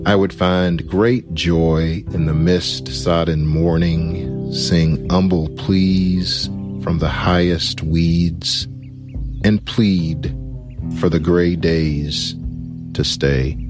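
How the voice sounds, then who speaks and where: A man reading his own poem aloud, slow and deliberate, lingering on each phrase. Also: deep voice, steady tone. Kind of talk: slow, measured poetry reading. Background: soft guitar music.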